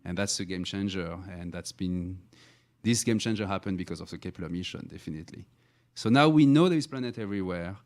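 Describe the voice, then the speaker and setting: A middle-aged man moderating a science panel, framing long, measured questions. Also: deep voice, a little mellow.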